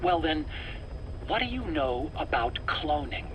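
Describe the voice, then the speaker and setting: An actor playing a nervous, eager scientist under interrogation in a video game, self-justifying in an earnest, explanatory, pleading way. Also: male voice, a little high, very animated, measured pace, a bit bright, poor audio. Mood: secretive, insistent. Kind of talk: dramatic video game voice acting. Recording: faint background noise, cassette tape interrogation.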